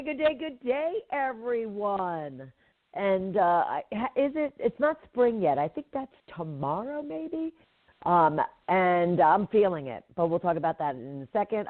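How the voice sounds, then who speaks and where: Congested woman host, chatty, over a tinny line. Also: no echo. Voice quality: deeper than usual voice, occasional hack. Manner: chatty, rambling, many fillers. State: congested with allergies, coughing. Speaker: radio show host. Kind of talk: casual live talk radio. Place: home in Atlanta.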